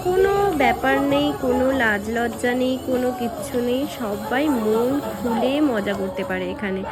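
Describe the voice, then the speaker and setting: High-pitched enthusiastic woman vlogger. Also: normal speed. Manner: enthusiastic, repeats phrases for emphasis; mixes English words into speech. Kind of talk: casual chatty vlog narration. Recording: loud music and crowd behind voice. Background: live music and festival crowd.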